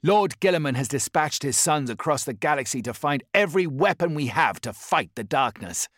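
A man's voice: on the high side, lively intonation, moderate pace, fairly bright tone, dry recording, clean studio sound, pitched above the performer's own voice. A man voicing a devout male warrior chaplain in an audiobook, who speaks in a firm, solemn voice.

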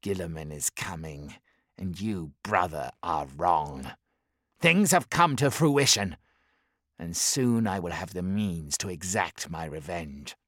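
A man voicing a bitter, vengeful male warlord in an audiobook, who speaks slowly and grimly and then swells into loud shouts. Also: low-pitched, lively intonation, no echo, studio quality, exaggerated voice. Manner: slow, grim, swelling into shouts.

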